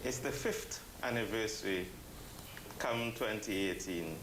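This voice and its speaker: Deep, slow-speaking man, roomy sound. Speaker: interview guest, church committee member. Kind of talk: explanatory interview answers. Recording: roomy echo with background noise.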